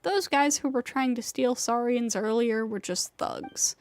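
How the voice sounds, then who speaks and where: A woman's voice: a little high, measured pace. A woman voicing a burnt-out, self-doubting young female flying rider in a video game, weary and bitter as she vents. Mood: explaining, matter-of-fact. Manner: weary, venting, self-deprecating. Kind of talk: scripted video game voice acting. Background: game music.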